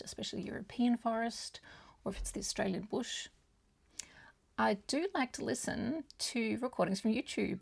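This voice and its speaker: Woman, native German speaker, heavy vocal fry, breathy husky tone, chatty vlogger monologue, hesitant and meandering. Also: medium pitch. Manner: rambling, hesitant, often losing her thread. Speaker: book vlogger. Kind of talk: casual, chatty monologue to viewers.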